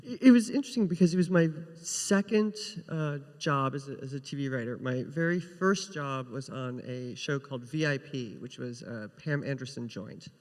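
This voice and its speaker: A woman's voice: extremely low pitch, moderate pace, hardly any pauses, a little mellow. A woman who writes for television, telling anecdotes as a guest on a panel. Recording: echoey hall with long reverb.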